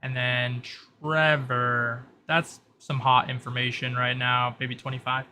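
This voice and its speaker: Shrewd masked informant played by a man for tabletop roleplay: bright tone, measured, musing haggler, faint noise. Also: medium pitch, flat delivery. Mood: calculating, musing. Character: shrewd masked informant selling secrets for coins.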